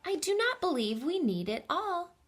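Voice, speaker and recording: A woman's voice: extremely high pitch, sing-song, moderate pace, voice pushed up. A woman voicing a fussy, practical gardener's wife in a storybook, exclaiming as she speaks. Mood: dismayed.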